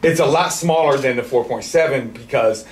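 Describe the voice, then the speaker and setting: A middle-aged man hosting a podcast, telling a casual story in an animated, excitable way, swearing freely and restarting sentences. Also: medium pitch, moderate pace. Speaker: podcast host. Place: indoor studio with camo backdrop, at a table. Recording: roomy and distant with faint noise.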